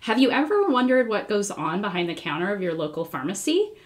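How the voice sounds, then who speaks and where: A middle-aged American woman reading a children's book aloud in an expressive, deliberate way. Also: medium pitch. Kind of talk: read-aloud storytelling, scripted. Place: small indoor room, wall covered in papers.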